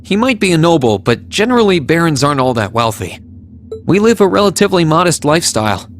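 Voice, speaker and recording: A man's voice: a little high, sing-song, quick pace, faint music behind. An actor playing a polite, earnest and cautious young man in a video game, speaking a little hesitantly. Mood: polite, modest.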